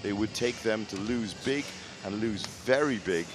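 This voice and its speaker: A man giving live match commentary in an explanatory, measured way, his voice a little hoarse. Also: lowish voice. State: slightly hoarse, recovering voice. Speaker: sports commentator.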